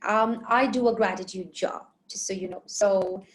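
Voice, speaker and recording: The woman you'd hear running an online chat show, medium-pitched, chatting to viewers and agreeing readily with her guest. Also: steady tone, normal speed, rough recording. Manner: affirming, chatty. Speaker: interview show host. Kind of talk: conversational online interview.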